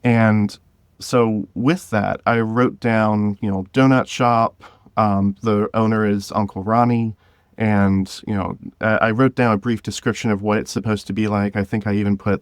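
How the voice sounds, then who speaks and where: A man's voice: on the low side, little pitch movement, unhurried, no room sound, clean studio sound. A man speaking as a podcast guest, explaining his creative work in a casual, conversational way. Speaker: podcast guest, scriptwriter of an audio drama.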